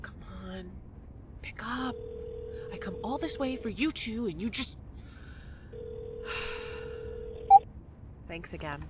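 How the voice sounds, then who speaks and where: A woman's voice: on the high side, very animated, extremely slow, pauses often, noisy background, exaggerated voice. A woman in an audio drama playing a blunt, wry young newcomer with casual, dry, naturalistic delivery. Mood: worried, casual.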